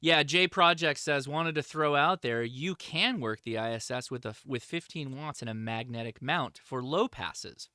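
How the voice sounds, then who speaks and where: A man's voice: medium pitch, moderate pace, few pauses, bright, forceful tone, no echo, clean studio sound. A man hosting a livestream, answering chat questions in a casual, informal, enthusiastic hobbyist way.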